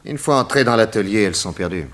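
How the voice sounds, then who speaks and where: An actor playing a confident detective boss in a 1960s French radio serial, explaining in an emphatic, didactic, theatrical way with dramatic pauses. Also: male voice, lowish voice, animated, fairly bright tone. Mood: insistent, didactic. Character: confident male detective boss explaining crimes to his assistant.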